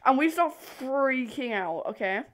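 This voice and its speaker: A young woman telling a story casually to camera in an animated, rambling, giggly way, easily sidetracked. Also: sits high, measured pace. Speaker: video host. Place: bedroom.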